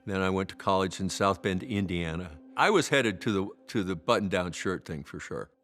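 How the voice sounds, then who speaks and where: A man's voice: low-pitched, moderate pace, a bit bright, soft background music. A mature man from the American Midwest reminiscing in a documentary interview.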